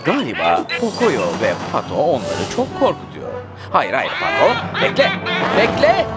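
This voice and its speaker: A man playing a friendly, excitable narrator of a preschool cartoon, expressive and speaking directly to children and characters. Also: mid-range voice, normal speed. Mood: alarmed. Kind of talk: children's cartoon narration addressing young viewers. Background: music.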